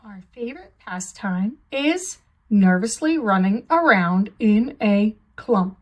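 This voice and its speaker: A woman voicing an ordinary, self-deprecating, fretful sheep in a children's storybook, with a wry, drawn-out delivery. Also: mid-range voice, animated. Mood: anxious, wry.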